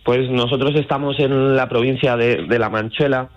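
A man's voice: mid-range voice, steady tone, moderate pace. A man from Madrid with a central Spanish accent explains things step by step as a guest in an informal radio interview, speaking over the phone. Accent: central Spain. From Madrid, Spain. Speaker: astrotourism guide, phone guest. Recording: dull lo-fi phone call.

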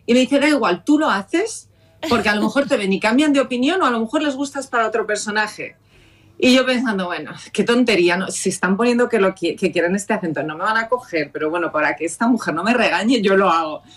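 Woman telling an animated story. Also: medium pitch, quick pace. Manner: lively anecdotal, acts out quoted dialogue. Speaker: actress guest. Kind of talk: storytelling in interview.

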